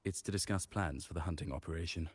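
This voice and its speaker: A man's voice: low-pitched, quick pace, husky, dry recording. A man playing a dutiful camp messenger in a fantasy game, formal and deferential to his superior. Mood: formal, urgent. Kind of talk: scripted fantasy game dialogue.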